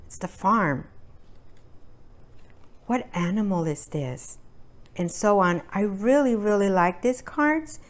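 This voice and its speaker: A middle-aged woman presents children's learning activities to parents. She speaks slowly, at a medium pitch for a woman, in simple, deliberate phrases broken by short pauses. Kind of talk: demonstration talk to parents. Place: indoors at a table by a brick fireplace.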